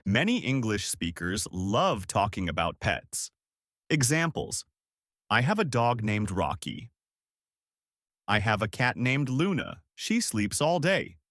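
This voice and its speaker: Upbeat man with a lively voice. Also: fairly low pitch, takes it slowly, no echo, studio quality. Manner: clear, upbeat explaining with example phrases. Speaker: podcast co-host and English teacher. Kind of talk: scripted English lesson dialogue.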